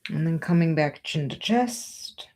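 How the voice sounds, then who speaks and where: Slow, low-voiced woman guiding gentle yoga. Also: animated. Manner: gentle, unhurried, soothing encouragement. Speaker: yoga and meditation teacher. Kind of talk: guided yoga and breathing instruction.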